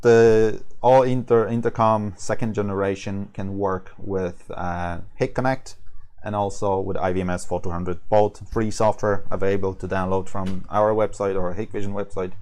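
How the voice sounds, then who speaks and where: A man with a low voice talks through a slide presentation as a product trainer. English is not his first language. His delivery is informal and halting, and he often repeats words and rewords what he has said. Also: steady tone, darkish tone. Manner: halting, repeats and rephrases himself.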